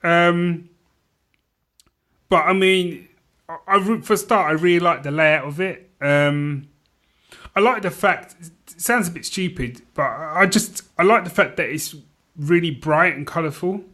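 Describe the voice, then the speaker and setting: A man's voice: fairly high pitch, unhurried, sharp tone. A man chatting casually as a podcast co-host in an informal, easygoing, agreeable way.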